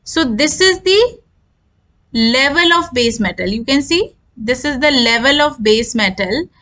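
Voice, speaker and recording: Female lecturer, high-pitched and sharp, methodical technical explainer who restates key points. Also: lively intonation. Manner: repetitive, deliberate explaining.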